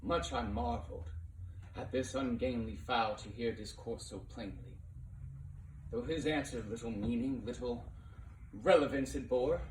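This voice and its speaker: A man giving a dramatic poetry reading as narrator, theatrical in manner, swelling from hushed to impassioned delivery. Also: mid-range voice. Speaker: narrator reading a poem aloud. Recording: roomy, distant mic with faint noise.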